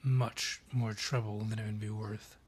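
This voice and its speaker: A man playing a grudging, sardonic dryad in a fantasy audio roleplay, with a gruff, curt, world-weary manner and a husky, breathy voice. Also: on the low side, level tone, measured pace. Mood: grave, storytelling.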